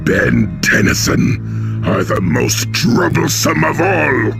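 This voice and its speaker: An actor playing a pompous, contemptuous alien villain commander in a video game, with a growling, gravelly voice. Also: male voice, a little high, huge pitch swings, takes it slowly, full character voice. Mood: haughty anger. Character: haughty menacing alien supremacist villain commander. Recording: loud music over the voice.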